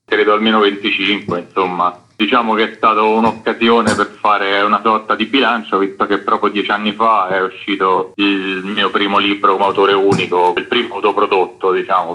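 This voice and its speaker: A middle-aged man, explaining things reflectively as a guest in an informal radio interview. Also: on the low side. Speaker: interview guest, illustrator and comics author. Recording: dull lo-fi radio sound.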